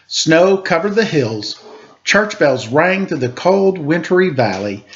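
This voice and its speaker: A man reading a children's story aloud with an expressive, playful delivery, as a storyteller at home. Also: a little high, slow pace. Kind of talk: storybook read-aloud with casual asides. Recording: dull home recording with faint noise. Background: faint household background noise.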